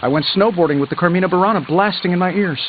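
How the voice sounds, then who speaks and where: An actor playing a cocky billionaire inventor superhero in a video game, glib and sarcastic with playful quips. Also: male voice, high-pitched, racing, narrow, tinny audio, slight background noise, lightly acted. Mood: breezy. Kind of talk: video game character dialogue, quippy banter. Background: music.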